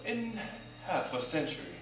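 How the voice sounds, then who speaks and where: Extremely deep-voiced woman reading onstage. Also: huge pitch swings, measured pace. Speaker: reader in a staged ensemble reading. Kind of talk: dramatic literary story narration, live performance. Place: theater stage, live performance. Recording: echoey distant live theater recording with music. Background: music and sound design.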